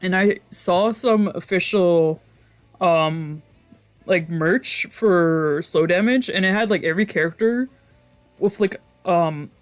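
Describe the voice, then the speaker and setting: Excitable, rambling game streamer over music. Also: medium pitch, takes it slowly. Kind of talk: casual let's play commentary with giggly asides.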